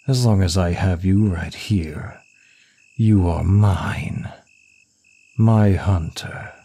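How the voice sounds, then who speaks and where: Man as a deep, slow, seductive demon of lust. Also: gravelly, mellow, breathy edge, heavily acted. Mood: commanding, lustful. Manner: teasing, slow, deliberate seductive delivery. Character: suave male demon of lust, seductive and smug. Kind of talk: ASMR roleplay acting.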